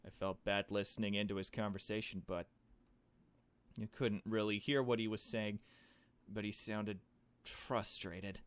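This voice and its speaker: A man reading a visual novel aloud as a let's player, in hushed storytelling narration with casual asides. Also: on the low side, fairly even intonation, a little slow, long pauses, no room sound.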